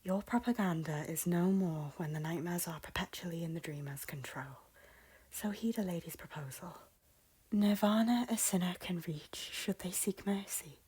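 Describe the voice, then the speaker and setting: A woman's voice: on the low side, moderate pace, darkish tone, breathy edge. A woman playing a repentant former temptress, an earnest and defiant noble lady speaking formally in a theatrical audio drama. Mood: solemn, hushed. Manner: formal, archaic, impassioned speech. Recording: roomy echo, music underneath. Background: music.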